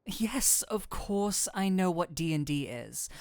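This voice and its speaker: A woman voicing a flirtatious incubus demon who is secretly an excitable nerd, in a scripted roleplay with theatrical, formal phrasing that slips into flustered gushing. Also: fairly low pitch, slow, no room sound, studio quality. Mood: astonished, excited. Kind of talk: scripted roleplay audio addressed to the listener.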